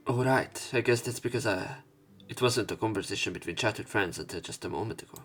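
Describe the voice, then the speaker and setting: Lowish-voiced man reading a game aloud. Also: fairly bright tone, breathy edge. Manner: halting, corrects himself while reading. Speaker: let's play narrator. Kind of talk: reading visual novel narration and dialogue aloud, with asides. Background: game music.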